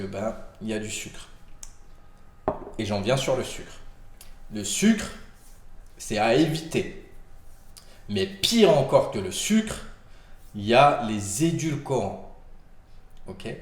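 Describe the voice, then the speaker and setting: A man's voice: fairly low pitch, reverberant. A man with a cold giving casual health advice to camera, rambling with many fillers and restarts. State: has a cold.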